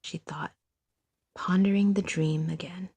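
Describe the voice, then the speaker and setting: A woman reading scripted fiction as an audio drama narrator, in a measured storytelling delivery. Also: lowish voice, fairly even intonation, a little mellow, husky.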